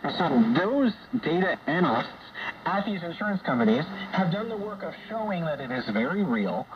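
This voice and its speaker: A man hosting a conversational radio discussion, explaining things in a measured, hesitant way. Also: on the high side, big pitch swings. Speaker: radio show host. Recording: dull, noisy shortwave radio broadcast.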